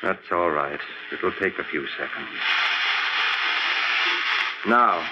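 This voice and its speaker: A man's voice: low-pitched, animated, takes it slowly. A man acting as a driven, defiant scientist in an old-time radio horror play, urgent and commanding, giving clipped orders. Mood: tense, commanding. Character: driven, defiant scientist husband who defies death. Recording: muffled, noisy old radio recording with hum.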